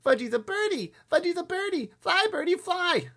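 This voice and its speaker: A storybook reader voicing a small, excitable little boy with babyish speech. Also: high-pitched, slow pace, voice pushed up, full character voice. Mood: excited. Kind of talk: children's storybook read aloud.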